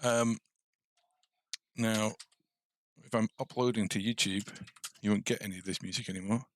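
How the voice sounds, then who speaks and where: A man's voice: lowish voice, steady tone, normal speed. A man casually thinking aloud as he hosts a game coding tutorial livestream. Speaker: game development tutorial host.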